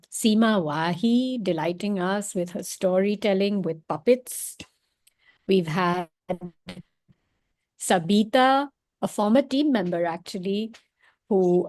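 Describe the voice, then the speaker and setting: A woman hosting an event, giving unscripted, appreciative closing remarks in a halting, hesitant way. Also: mid-range voice, a little mellow, no room sound. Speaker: event host.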